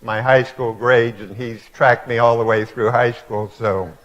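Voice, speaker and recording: Elderly man, 80s, guest lecturer, slow and halting, reflective, many fillers, dull lo-fi live stream. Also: mid-range voice, steady tone. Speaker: guest speaker. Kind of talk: public lecture. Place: auditorium before a live audience.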